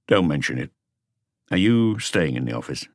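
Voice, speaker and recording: A man voicing a calm, laconic senior police detective in an audiobook, terse and authoritative. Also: rumbling low, normal speed, dry recording, clean studio sound, heavily acted. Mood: firm. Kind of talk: audiobook character dialogue.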